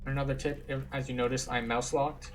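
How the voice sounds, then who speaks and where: A man's voice: medium pitch, little pitch movement, a little fast, a little hiss or hum. A man giving casual, matter-of-fact gameplay commentary as he explains things like a tour guide. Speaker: game streamer and tour guide. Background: game music.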